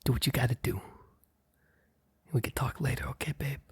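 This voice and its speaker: Husky whispering man playing a guarded mob boss. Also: mid-range voice, level tone, brisk. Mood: resigned, earnest. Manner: hesitant, hushed, earnest. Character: guarded, apologetic young male mob boss, boyfriend confessing. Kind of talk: intimate ASMR roleplay monologue.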